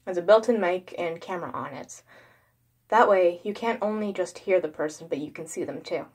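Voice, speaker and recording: Low-pitched woman narrating horror. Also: moderate pace, a little mellow, slightly rough. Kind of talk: first-person creepypasta narration.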